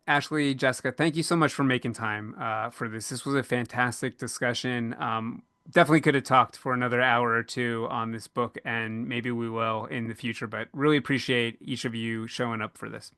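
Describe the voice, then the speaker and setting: A man hosting a podcast panel, speaking casually and pausing often mid-thought as he works through his points. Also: medium pitch, fairly even intonation, pushed and bright, no echo, clean studio sound. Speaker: podcast host and panel moderator.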